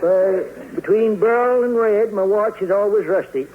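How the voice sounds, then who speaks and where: An actor playing a slow, deadpan rural farmer who talks hesitantly, in 1940s radio comedy. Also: male voice, high-pitched, noisy background. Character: slow rural New England farmer, dry and homespun. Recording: tinny old radio broadcast.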